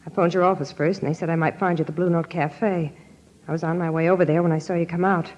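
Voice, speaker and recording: A woman's voice: fairly low pitch, fairly even intonation, fast talker, darkish tone, natural voice. An actress playing a tough, jittery girlfriend with a secret in an old-time radio crime drama, talking slangy and urgent. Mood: guarded, businesslike. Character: hard-boiled, jittery young gangster's girlfriend with a secret. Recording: dull, lo-fi old radio recording.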